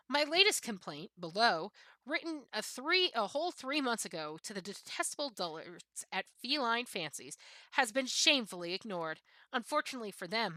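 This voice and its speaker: A woman reading humorous prose aloud as a streamer, expressive, with occasional stumbles and self-corrections. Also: medium pitch, dry recording. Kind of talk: reading aloud from a comic book of essays.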